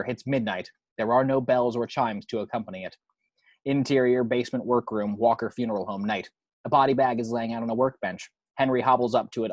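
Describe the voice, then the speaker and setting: A man with a husky voice reading scripted screenplay action descriptions as narrator. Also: medium pitch, no room sound.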